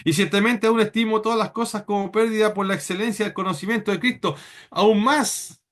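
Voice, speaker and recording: A man from Chile, preaching a sermon in church in an earnest, halting way with many repeated words. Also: sits high, steady tone, a bit bright.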